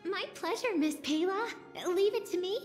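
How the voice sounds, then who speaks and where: High-pitched, sweet young secretary. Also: female voice, moderate pace, soft background music. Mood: gracious, eager. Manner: gracious, eager to please. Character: sweet, eager young female secretary and art student. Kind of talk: scripted video game dialogue.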